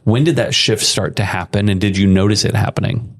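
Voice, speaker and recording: Male host, low voice with gravel, long supportive questions. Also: steady tone, fairly quick. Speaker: podcast host. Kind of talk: podcast interview.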